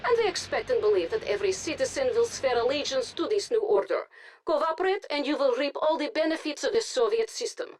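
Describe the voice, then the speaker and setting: High-voiced Soviet news anchorwoman. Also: animated, darkish tone. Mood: official, firm. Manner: formal, measured delivery. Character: composed Soviet propaganda news anchorwoman, authoritative. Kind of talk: scripted news broadcast in a video game.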